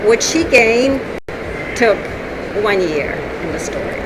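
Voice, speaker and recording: A woman in her sixties whose first language is Swedish, an author answering interview questions thoughtfully, with pauses and fillers. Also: fairly high pitch, expressive. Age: 60s. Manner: thoughtful, hesitant, many fillers and restarts. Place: noisy public venue. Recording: loud background noise.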